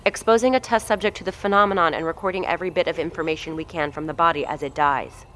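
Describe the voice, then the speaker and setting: A woman's voice: deep voice, fairly even intonation, fast, fairly bright tone, slight background noise. An actress playing a blunt, foul-mouthed woman who heads a research facility, talking in a commanding way in an audio drama. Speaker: facility head.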